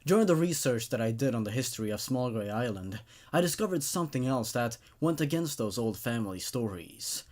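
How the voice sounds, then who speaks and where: A man's voice: mid-range voice, expressive, a little fast, hardly any pauses, a little mellow. A man hosting and reading stories aloud in a scripted narration style.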